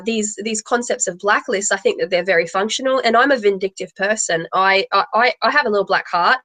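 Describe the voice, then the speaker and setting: A woman's voice: medium pitch, fairly bright tone, low-quality recording. A woman with a big voice, speaking emphatically and repeating phrases for stress on a live tarot panel. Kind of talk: opinionated live panel talk.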